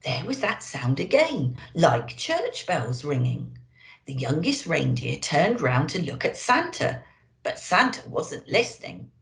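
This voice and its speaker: A woman's voice: sits low, measured pace, vocal fry. A woman reading to children expressively as a storyteller, playing a Christmas elf host. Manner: animated, addressing children. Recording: roomy indoor echo.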